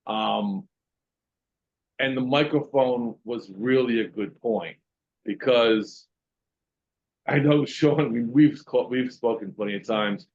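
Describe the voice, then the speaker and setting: A man asking questions in an online meeting, with a loud voice and many fillers and pauses. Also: mid-range voice, muffled recording. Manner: loud voice, talks with hesitations and fillers. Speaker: workshop participant.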